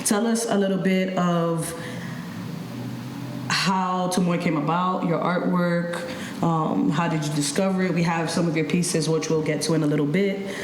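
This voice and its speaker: Woman, podcast host, lowish pitch, casual interview chat, clear background noise. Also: steady tone, normal speed, reverberant.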